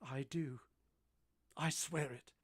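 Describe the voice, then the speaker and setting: Brooding master of the house, played by an actor: commanding, teasing, ardent. Formal, theatrical delivery for a period radio romance. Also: male voice, a little high, fairly even intonation, slow, husky. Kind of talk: vintage radio drama acting.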